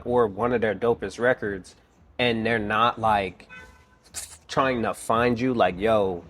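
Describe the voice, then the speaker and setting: Low-voiced man asking loose questions. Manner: loose, rambling questions with fillers. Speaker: podcast host. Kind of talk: casual interview questions.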